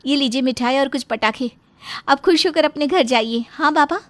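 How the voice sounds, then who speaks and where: A woman's voice: high voice, moderate pace, no room sound, voice pushed up, lightly acted. A woman voicing a kind, principled young daughter-in-law, earnest and firm, in a storybook tale. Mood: caring urgency. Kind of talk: storybook character acting.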